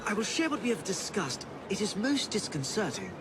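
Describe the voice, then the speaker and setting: An actor playing a well-bred, flustered British gentleman in a video game, speaking in a formal, polite, uneasy way. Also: high-pitched, wildly swooping pitch, a little fast, background noise. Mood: taken aback. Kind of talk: video game dramatic dialogue.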